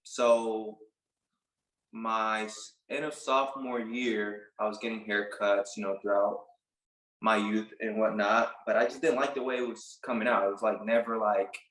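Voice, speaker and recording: A man in his late twenties from Puerto Rico, a barber telling his story in a casual interview, relaxed and halting with many fillers. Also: lowish voice, roomy sound, rough recording. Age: late 20s. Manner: relaxed, halting, lots of "like" and restarts. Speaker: barber and business owner, guest.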